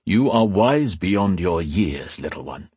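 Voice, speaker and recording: Guy doing a stately desert chief for a fantasy audiobook, low n soft, very formal and reverent. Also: unhurried, breathy edge, dry recording, heavily acted. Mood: solemn, content. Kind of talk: fantasy audiobook character acting.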